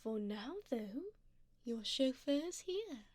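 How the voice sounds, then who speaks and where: Seductive, doting vampire benefactress, played by a woman for ASMR roleplay: very slow, husky whispers, slightly high, genteel and intimate. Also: lively intonation, a little mellow, no echo. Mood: doting, fond. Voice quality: breathy, mostly whispered. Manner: slow, tender, genteel endearments. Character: doting, seductive wealthy female vampire sugar mommy. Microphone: close binaural microphone.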